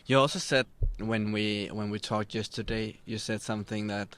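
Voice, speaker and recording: A man from Denmark with a Danish accent, interviewing conversationally in hesitant, halting phrases. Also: lowish voice, steady tone, a bit bright, dry recording. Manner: hesitant, halting phrasing, self-described introvert. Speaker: podcast host, interviewer.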